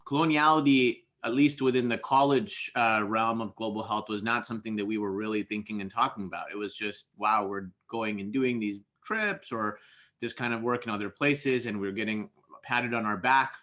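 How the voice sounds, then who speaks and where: A man in his thirties from India speaks on a video-call panel. His voice is bright and sharp. He talks reflectively and often stops to restart a phrase. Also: lowish voice. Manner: reflective, many restarts and repeated words. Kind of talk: panel discussion.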